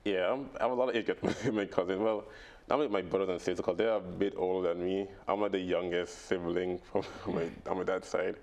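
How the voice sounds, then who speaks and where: Brief: young man, very low and gravelly voice, halting and laughing as a radio guest. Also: fairly even intonation, a little mellow. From British Virgin Islands. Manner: halting, laughs often, many fillers. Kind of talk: casual radio interview. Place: radio studio.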